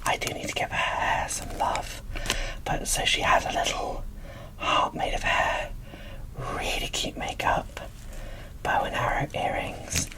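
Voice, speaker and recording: A woman speaking in a soft, breathy whisper, showing things off with gushing enthusiasm in an ASMR style. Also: unhurried, rough recording. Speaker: host of an ASMR doll unboxing channel. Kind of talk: whispered ASMR show-and-tell.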